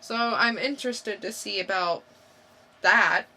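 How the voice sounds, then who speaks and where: A woman's voice: medium pitch, unhurried, sharp tone, low-quality recording. A woman co-hosting a podcast, chatting casually in an informal, inquisitive way. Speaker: podcast co-host. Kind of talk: casual review chat. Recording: faint background noise.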